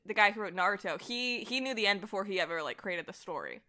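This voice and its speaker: A woman's voice: medium pitch, animated, fast talker, bright, forceful tone. A woman talking as a podcast guest in an informal, enthusiastic conversation. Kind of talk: casual chat.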